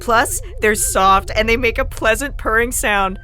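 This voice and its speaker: Actress as chipper alien shapeshifter, high pitch, bright tone, explaining, comic audio theatre, faint music. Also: female voice, a little slow. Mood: cheerful. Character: chipper female shapeshifting alien going out as cat.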